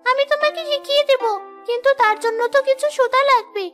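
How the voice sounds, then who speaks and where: Casting: kind little talking forest bird, extremely shrill and squeaky, sweet helpful delivery, children's music underneath. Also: female voice, fast talker, a bit bright. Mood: helpful, instructing. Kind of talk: moral-story cartoon dub.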